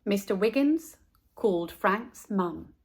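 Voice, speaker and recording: A woman's voice: medium pitch, animated, takes it slowly, slightly rough. A woman hosting storytime and reading a children's picture book aloud as narrator.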